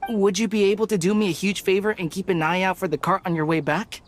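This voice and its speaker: A woman's voice: mid-range voice, fairly even intonation, fast, slight background noise, close to an everyday voice. A woman playing a polite, apologetic stallholder in a video game. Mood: worried. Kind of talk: English video game voice acting. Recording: faint background ambience.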